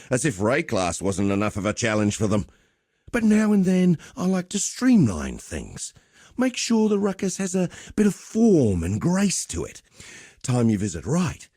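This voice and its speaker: A man's voice: a little high, darkish tone, husky, no room sound, clean studio sound, full character voice. A man playing a swaggering, cheerful arena fight promoter in a video game, with theatrical, chummy, slangy patter. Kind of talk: video game character voice lines.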